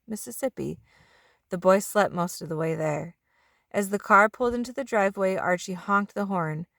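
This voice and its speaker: A woman narrates a children's storybook at a middling pitch. She stretches out the ends of some phrases as she reads aloud. The recording is dry, with little room sound. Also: fairly bright tone, dry recording. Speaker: children's book reader.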